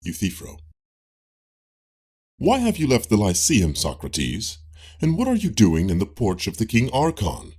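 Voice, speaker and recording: A man reading a classical philosophical dialogue as an audiobook in a measured, formal way. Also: lowish voice, wildly swooping pitch, darkish tone, slightly rough. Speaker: audiobook reader.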